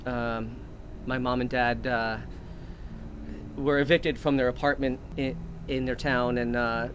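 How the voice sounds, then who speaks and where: Male comic storyteller, rambling and mock-earnest, mid-pitched, faint noise behind. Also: flat delivery, measured pace, highs cut off. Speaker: comedic storyteller. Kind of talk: improvised comic monologue.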